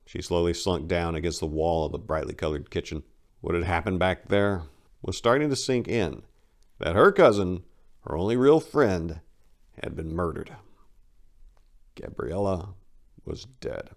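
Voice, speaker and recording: A man with a deep voice serves as host and narrator. He delivers scripted story narration in a dramatic, theatrical way, leaning on words for emphasis. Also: unhurried, long pauses.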